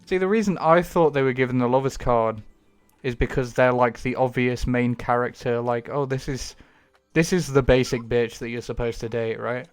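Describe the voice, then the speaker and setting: A man's voice: medium pitch, fairly even intonation, moderate pace, smooth, faint music behind. A young man chatting casually while streaming a game at home, rambling and self-deprecating with the odd swear. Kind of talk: casual gaming stream chat.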